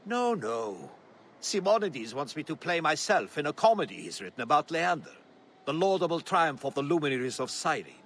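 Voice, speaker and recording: A genial, urbane civic leader in a video game. His voice is a little high and very animated, articulate and wry, over faint background noise. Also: normal speed. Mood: amused, friendly.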